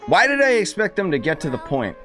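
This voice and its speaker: A man's voice: high voice, sing-song, fairly quick, a bit bright. Blunt man streaming over game music. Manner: blunt, griping. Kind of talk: casual live-stream commentary, chatting to viewers. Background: video game music and dialogue.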